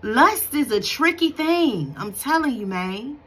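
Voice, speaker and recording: A woman in her thirties talks straight into a close phone camera in a bright room at home. She gives spiritual encouragement in a husky, edgy voice, earnest and preachy, often restarting her sentences. Her pitch sits a little high. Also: big pitch swings, measured pace. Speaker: faith vlogger. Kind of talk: casual spoken devotional encouragement. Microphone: phone camera at close range.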